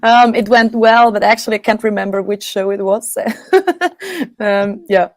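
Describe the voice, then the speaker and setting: A Swiss woman, a guest on a video-call interview, speaking English haltingly, often laughing and hesitating. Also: mid-range voice, no room sound, studio quality. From Switzerland. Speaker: rock singer, guest. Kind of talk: casual interview chat.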